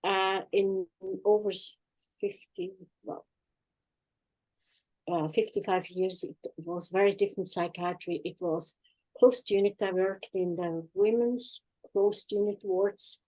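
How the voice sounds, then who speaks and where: An older woman with a Swiss accent, telling her life story in a halting, plain-spoken way as an interview guest online. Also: mid-range voice, fairly even intonation, dark tone, rough recording. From Switzerland. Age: 60s or older. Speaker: guest, healing practitioner and former nurse. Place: online video chat.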